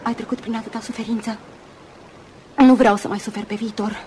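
An actress playing an earnest, lovelorn young woman in a Romanian radio play, speaking with halting intensity. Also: on the high side, steady tone, mellow, a little hiss or hum, natural voice. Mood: pleading, emotional. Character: Susan, earnest lovelorn young woman, self-effacing but insistent. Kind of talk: Romanian radio theatre acting.

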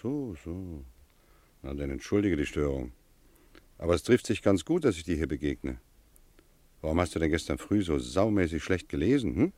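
A very animated, deep schoolmaster in a radio play. He is gruff and mock-stern, prodding like a father, with kindness underneath. The sound is close and dry. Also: moderate pace, pauses often, no echo. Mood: probing, gruff. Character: gruff but kindly schoolmaster, adult man.

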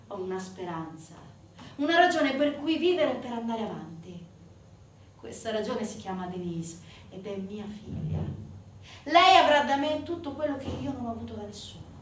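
A woman's voice: on the high side, lightly acted. An actress playing a wounded, bitter yet defiant young southern Italian mother in a theatrical monologue. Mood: hopeful resolve. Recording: roomy, distant stage recording with faint noise.

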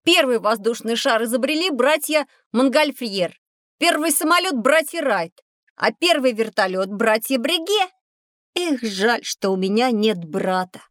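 A woman's voice: a little high, lively intonation, measured pace, fairly bright tone, dry recording, studio quality. A woman playing a curious, dreamy young boy who is keen on aircraft, eager and wondering, in a children's audio drama. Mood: wistful.

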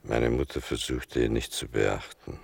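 Very deep, gravelly narrating son. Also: male voice, fairly even intonation, a little slow, no room sound, heavily acted. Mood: wry, reflective. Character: reflective writer son recounting his mother's story. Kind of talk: literary radio play narration.

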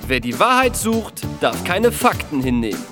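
Radio feature countdown presenter: mock-solemn, deliberate, very melodic delivery, music prominent behind. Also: female voice, on the low side, very animated. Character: woman presenter counting down crazy logic fallacies, mock-solemn. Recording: voice over loud music.

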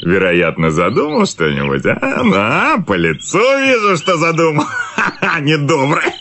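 A man's voice: fairly high pitch, huge pitch swings, measured pace, gravelly, bright, forceful tone, slight background noise, heavily acted. An actor playing a jovial, concerned male friend in a radio play, chiding and soothing in a hearty manner. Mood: amused suspicion. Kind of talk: radio play acting of a classic comic story. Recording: studio radio play with music.

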